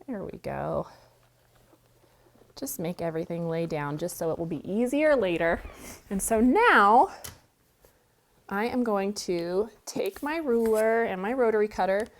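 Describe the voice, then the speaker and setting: A woman from the hosting a quilting tutorial. She talks casually as she works and explains each step, and she sounds a little stuffy from a cold. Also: medium pitch. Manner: chatty, walks through steps while working. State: has a cold, sniffling. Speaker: quilting show host. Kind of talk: friendly sewing tutorial. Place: sewing studio indoors.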